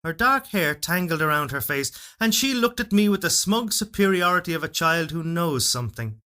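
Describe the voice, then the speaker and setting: A man reading a literary fairytale as narrator, in a solemn, measured and expressive storytelling delivery. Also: fairly high pitch. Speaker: story reader. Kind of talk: dramatic literary narration of a first-person fairytale.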